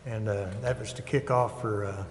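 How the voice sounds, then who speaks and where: A man giving an informal report as a council member in a halting way. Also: on the low side, fairly even intonation. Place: large indoor council chamber. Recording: echoey chamber with noise and clicks.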